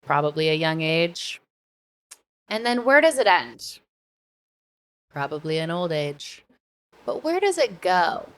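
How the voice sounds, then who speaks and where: An American woman co-hosting a casual podcast, chatting in an animated, informal way with occasional swearing. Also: lowish voice, slow, long pauses. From United States. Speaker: podcast co-host.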